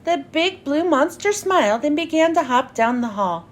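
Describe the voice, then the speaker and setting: A woman with a notably high voice reads a children's story aloud. Her delivery is lively and swings widely in pitch, with each phrase clearly enunciated for young listeners. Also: moderate pace. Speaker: children's read-aloud host.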